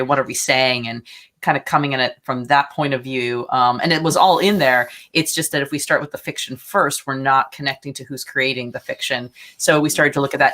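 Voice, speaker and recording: A woman speaking as a guest playwright in an animated interview, effusive and often restarting her thoughts midway. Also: sits low.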